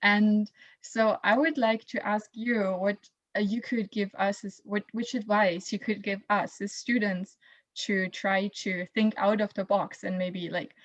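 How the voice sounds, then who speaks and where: A woman's voice: mid-range voice, fairly even intonation, moderate pace, no echo, lo-fi sound. A young woman, a student, moderating a panel and hesitating with many fillers.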